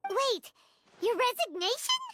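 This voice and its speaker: A woman voicing a tiny, childlike fairy companion in a video game, curious and chirpy, with a squeaky, childish delivery. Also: very animated, unhurried, pushed and bright, a little hiss or hum, heavily acted. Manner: squeaky, childish, refers to self in third person. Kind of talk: scripted video game dialogue. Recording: jingly music behind the voice.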